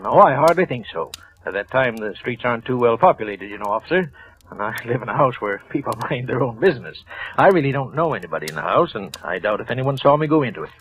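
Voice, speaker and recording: Jittery guilty office clerk. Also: male voice, mid-range voice, very animated, a bit bright, rough recording. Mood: nervous. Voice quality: halting, stammering, with nervous little laughs. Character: nervous guilty male office clerk, middle-aged. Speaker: narrator and lead character. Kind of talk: 1940s radio drama acting. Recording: old radio broadcast with music behind.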